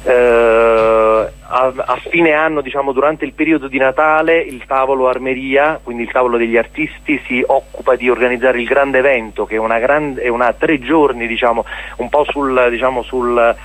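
A man's voice: mid-range voice, fairly even intonation, moderate pace, a bit bright, low-quality recording. A man explaining hesitantly in a radio interview, repeating words as he searches for the next. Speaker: interviewee, member of a cultural centre.

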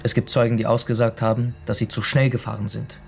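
An actor playing a brash, stubborn young blackmailer in a radio crime drama, pushy, defiant and slangy. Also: male voice, medium pitch, little pitch movement, quick pace, vocal fry, faint music behind, natural voice. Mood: coolly demanding. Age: young adult.

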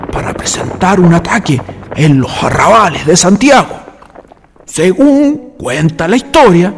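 Narrator for history radio play: man, very lively intonation, creaky voice, music underneath. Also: high-pitched. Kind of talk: dramatic scripted historical narration. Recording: long reverb, music behind.

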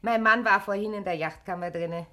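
A woman's voice: mid-range voice, brisk, pushed and bright, lightly acted. A woman acting a kindly, frank middle-aged wife in a German radio drama, speaking in a motherly, colloquial way. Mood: kindly, welcoming.

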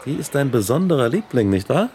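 An actor playing an earnest, ambitious young student in a radio drama, speaking in clearly phrased dialogue and narration. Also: male voice, medium pitch, big pitch swings, fairly quick, a little mellow, slight background noise. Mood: hopeful. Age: young adult. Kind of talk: radio drama acting, with first-person narration.

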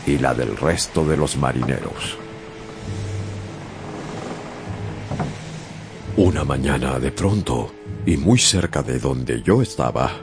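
A man's voice: rumbling low, full character voice. An actor playing a young sailor who narrates his own sea adventure in a dramatized radio story. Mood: intrigued, suspenseful. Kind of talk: dramatized first-person storytelling. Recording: music bed behind narration.

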